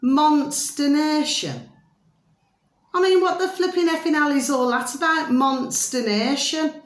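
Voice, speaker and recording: A woman's voice: sits high, unhurried. A middle-aged woman, rambling casually to her viewers. She keeps saying "flipping" and "there we are" and calls her viewers her delicious little babies. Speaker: vlogger. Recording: roomy echo.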